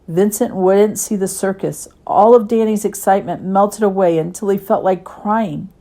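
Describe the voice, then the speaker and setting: Mellow-voiced woman reading a children's story. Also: mid-range voice, normal speed. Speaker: Sunday school teacher reading a story.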